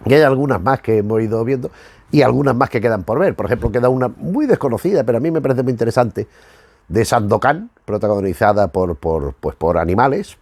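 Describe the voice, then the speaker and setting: A man's voice: lowish voice, darkish tone, dry recording. A man from giving casual, rambling commentary as a host, often searching for words and rewording as he goes. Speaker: YouTube host reviewing retro cartoons.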